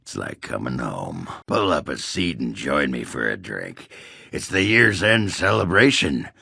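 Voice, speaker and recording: Game casting: boozy, blunt old-school dwarf brawler, raspy growl, slow drawl with big swings in pitch. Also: male voice, sing-song, sharp tone, dry recording, clean studio sound, full character voice. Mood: grumbling. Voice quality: gravelly, rough. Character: gruff, boozy, crude male dwarf warrior. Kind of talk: video game character acting.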